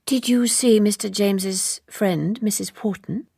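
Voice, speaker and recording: A woman's voice: mid-range voice, measured pace, vocal fry, warm tone, husky, dry recording, studio quality. Woman playing a reserved, politely probing young woman. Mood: guarded curiosity. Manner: careful, polite, restrained.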